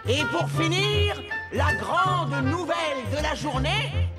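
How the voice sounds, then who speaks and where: A high, chirpy young penguin from a children's cartoon, cheerful and full of boasts. The delivery is exclamatory and singsong, with loud music playing behind it. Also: animated, slow pace, full character voice. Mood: proud announcing.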